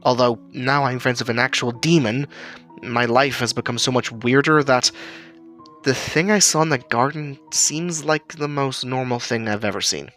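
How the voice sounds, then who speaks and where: A man's voice: mid-range voice, moderate pace, fairly bright tone, soft background music, close to an everyday voice. A man playing an earnest, uneasy storyteller who recalls an eerie childhood memory, stammering now and then. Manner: earnest, hesitant, stammering in places. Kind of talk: first-person spooky story narration.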